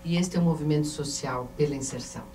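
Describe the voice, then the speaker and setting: A middle-aged Brazilian woman giving a formal tribute from a panel in a measured, ceremonious way. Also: low voice, dark tone. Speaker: panel member. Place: indoor auditorium panel table. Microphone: handheld mic, recorded by a distant camera. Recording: echoey, noisy hall from far off.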